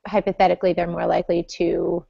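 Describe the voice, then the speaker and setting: A woman's voice: lowish voice, flat delivery, quick pace, creaky, dark tone, no room sound, lo-fi sound. A woman on a podcast panel talking about support work, hesitant, with many ums as she thinks aloud. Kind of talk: casual panel chat.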